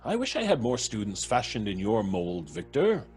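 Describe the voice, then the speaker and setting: An actor playing a genteel, moralising older professor in old-time radio horror style, husky, fussy and stammering. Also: male voice, lowish voice, huge pitch swings, normal speed, darkish tone, soft background music. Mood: jovial.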